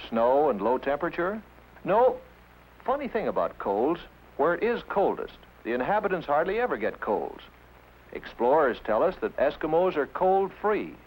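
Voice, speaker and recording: Animated 1950s educational film narrator, man. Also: mid-range voice, low-quality recording. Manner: didactic, emphatic, with rhetorical questions. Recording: 1950s film soundtrack with music.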